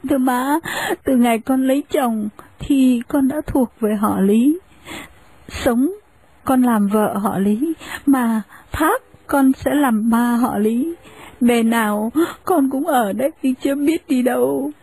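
An actress playing a meek young pregnant wife who pleads softly with her mother-in-law. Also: a little high, slow, husky, exaggerated voice, rough recording. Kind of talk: radio drama acting.

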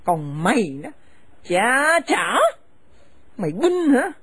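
An actress playing a furious, domineering mother-in-law who shouts insults at her son's wife. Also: fairly high pitch, very animated, extremely slow, breathy edge, dry recording, heavily acted. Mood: scolding. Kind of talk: radio drama acting.

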